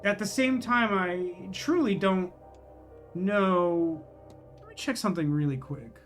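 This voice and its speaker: High-pitched man musing through a game stream. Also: takes it slowly, fairly bright tone, soft background music. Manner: rambling, musing, self-questioning. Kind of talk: casual live gameplay commentary, thinking aloud.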